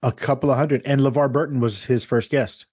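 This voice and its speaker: A man's voice: mid-range voice, steady tone, brisk, darkish tone, breathy edge, dry recording, studio quality. A man hosting a podcast, chatting in a friendly way and laughing often. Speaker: podcast host. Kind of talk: casual interview chat.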